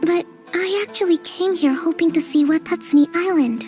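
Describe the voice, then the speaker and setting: An actress playing a gentle, thoughtful young female leader in a game cutscene, soft-spoken and calm. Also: very high-pitched, moderate pace, soft background music.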